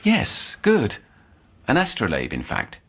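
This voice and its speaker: An actor playing an earnest, brooding middle-aged male doctor in an audio drama, speaking formally and haltingly in erudite language. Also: mid-range voice, wildly swooping pitch, a bit bright, husky, exaggerated voice. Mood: pleased, instructive. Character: earnest, scholarly, brooding male doctor haunted by past failures.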